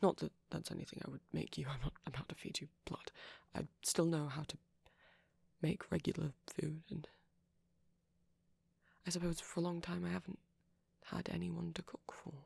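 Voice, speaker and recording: A performer playing a shy, caring vampire boyfriend in an intimate ASMR roleplay, speaking softly and breathily with hesitant pauses. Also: medium pitch, flat delivery, no echo. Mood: shy, reflective. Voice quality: breathy, often near whisper. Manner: soft-spoken, breathy, halting with gentle pauses.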